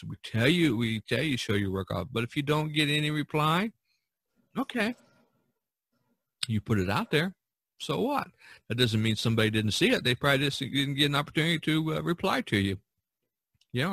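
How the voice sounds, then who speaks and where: A man's voice: medium pitch, vocal fry, fairly bright tone, dry recording. A man hosting a casual podcast, giving advice in a rambling, folksy way full of "you know". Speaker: podcast host and painter. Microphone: video call recording.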